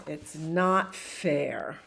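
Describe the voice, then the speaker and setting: A woman's voice: low voice, extremely slow, gravelly, a bit bright, slight background noise, exaggerated voice. A woman voicing an indignant, whining pigeon in a children's storybook, complaining theatrically. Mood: whining, outraged. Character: indignant, whining, dramatic pigeon who loves cookies. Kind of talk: children's storybook read-aloud.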